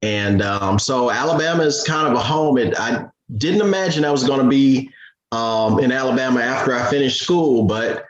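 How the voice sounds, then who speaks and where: A man from Detroit, a business founder, answers interview questions in a measured, thoughtful way with many pauses. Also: medium pitch, reverberant, highs cut off. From Detroit, Michigan.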